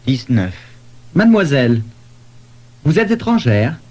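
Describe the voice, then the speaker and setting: A man playing a polite questioner in a scripted language-lesson dialogue, articulating deliberately. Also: medium pitch, sing-song, darkish tone. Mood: polite, inquiring.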